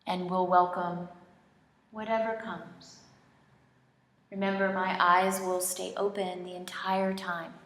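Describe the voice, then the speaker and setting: A woman leading a guided meditation in an indoor studio, speaking in gentle, unhurried phrases with long pauses. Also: lowish voice. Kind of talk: slow guided relaxation meditation, soothing instruction. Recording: roomy, echoing studio sound.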